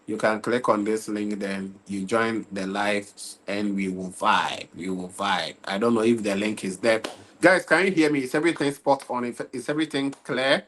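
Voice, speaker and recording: A man's voice: lowish voice, fairly even intonation, normal speed, a bit bright, slight background noise. A young man hosting a casual football livestream from Ghana, tired and loose, repeatedly checking in with his viewers. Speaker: livestream football talk host.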